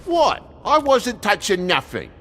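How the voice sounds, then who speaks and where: Shifty, animated toad dad. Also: male voice, high voice, a little slow, exaggerated voice. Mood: startled bluster. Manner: blustery, colloquial. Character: shifty, excitable, protective working-class toad father. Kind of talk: video game voice acting.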